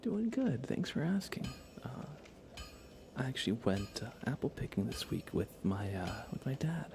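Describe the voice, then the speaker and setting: A man doing relaxed live ASMR game commentary, chatting softly and unhurriedly with his viewers. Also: medium pitch, moderate pace, gravelly, noisy background.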